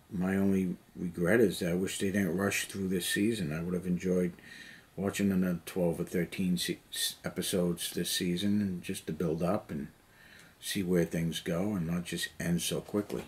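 A man's voice: low voice, steady tone, measured pace. A middle-aged man chatting casually and rambling while he paints miniatures in a cluttered basement hobby room, talking to a camera on the table. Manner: rambling, lots of "you know". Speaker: hobby vlogger. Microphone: camera on the table nearby.

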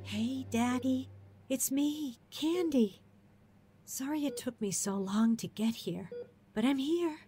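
A high, slow, tearful young woman in a radio drama, pleading with a voice that climbs higher and breaks with worry. She sounds distraught. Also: dark tone, faint music behind.

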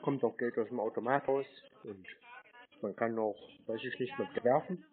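A deadpan, teasing speaker on a prank phone call. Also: mid-range voice, normal speed, husky. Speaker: prank call recipient, show host. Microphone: telephone call. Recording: tinny narrow-band phone line.

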